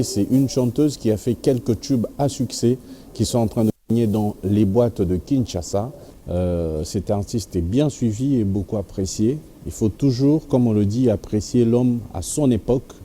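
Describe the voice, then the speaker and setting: Male TV host, dark low voice, formal announcer delivery, faint room hum. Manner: formal announcer phrasing mixing in Lingala. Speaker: TV show host. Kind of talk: TV entertainment news and interview.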